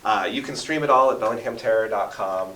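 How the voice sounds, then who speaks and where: A man's voice: medium pitch, fast talker, fairly bright tone. A talkative man in his thirties telling stories with enthusiasm and dramatic emphasis as a radio interview guest. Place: basement radio station studio. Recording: roomy echo, distant from the mic.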